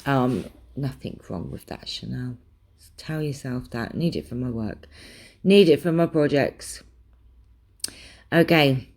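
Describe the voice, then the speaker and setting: A woman's voice: extremely low pitch, lively intonation, takes it slowly, pauses often, darkish tone. A young British woman in a casual craft vlog, chatting and rambling with lots of ums, her voice a little worn and throaty. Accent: British English. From England. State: slightly losing her voice, clearing her throat. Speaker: craft vlogger. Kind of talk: casual chat showing craft supplies.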